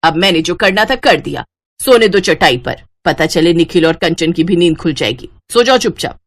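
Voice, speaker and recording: A woman's voice: lowish voice, lively intonation, moderate pace, voice pushed down. Woman playing a bossy, scheming mother-in-law. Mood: irritated, dismissive.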